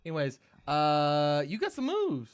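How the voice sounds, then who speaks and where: A man's voice: on the high side, huge pitch swings, a little slow. A man co-hosting a podcast, enthusiastic and hyping up the guest in casual chat. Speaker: podcast co-host.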